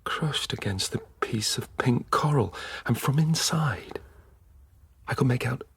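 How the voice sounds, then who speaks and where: An actor playing a weary, haunted middle-aged dissident in an intimate, brooding radio drama style. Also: male voice, mid-range voice, expressive, fairly bright tone, husky, no room sound, in a whisper, clean studio sound, full character voice. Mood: haunted, confessional. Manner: slow, halting, brooding. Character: weary, haunted male dissident confiding memories and fears.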